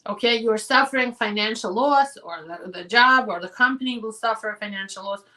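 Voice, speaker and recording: A woman immigration attorney hosting a live question-and-answer show, reading viewer questions and answering them directly while her voice is going hoarse. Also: fairly high pitch, sharp tone, far from the mic, rough recording. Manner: direct and advising, with quick practical answers.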